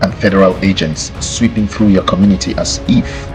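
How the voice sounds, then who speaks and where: Very deep-voiced man, deliberate podcast host. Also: steady tone, music in the background, rough recording. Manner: deliberate, rhetorical questions, repeats phrases for emphasis. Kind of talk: scripted explanatory commentary to listeners.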